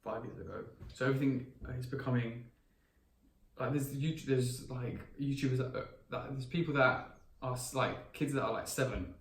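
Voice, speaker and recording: A man's voice: medium pitch, moderate pace. Young man, echoey room. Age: 20s. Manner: rambling, many restarts and fillers. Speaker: podcast co-host. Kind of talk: casual chat. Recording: echoey room, mic at a distance, faint ambience.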